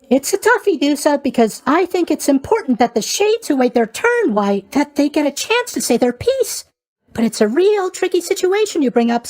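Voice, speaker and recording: A man's voice: very high voice, full character voice. A man voicing a chatty, eager-to-please young god of sleep in a video game, rambling along with bubbly asides. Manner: rambling, bubbly, hesitant asides, tag questions. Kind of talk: video game character voice acting.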